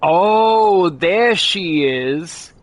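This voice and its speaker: Chatty, excitable male gaming streamer. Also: high voice, extremely slow. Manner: excitable, rambling, lots of fillers and restarts. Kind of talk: casual live commentary while gaming. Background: faint game music.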